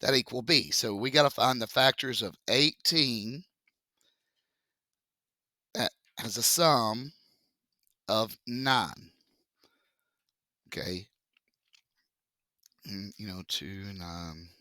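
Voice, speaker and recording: A male math teacher with a deep, froggy voice, explaining a lesson slowly and joking with the class. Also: sharp tone, no echo, clean studio sound. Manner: joking, chatty, slow step-by-step explaining. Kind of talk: casual classroom lesson video.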